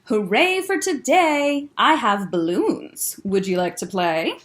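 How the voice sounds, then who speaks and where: A woman voicing a cheerful little female owl who keeps asking friends to play and whispers soft goodnights. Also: on the high side, sing-song, slow pace. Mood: hopeful.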